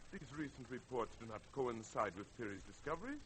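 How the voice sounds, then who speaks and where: A man's voice: mid-range voice, very animated, fairly quick, fairly bright tone. A man playing an incredulous, formal navy official in an old radio drama, given to exclaiming. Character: incredulous, probing male navy survey official. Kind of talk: 1930s-40s radio drama acting. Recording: noisy old radio recording.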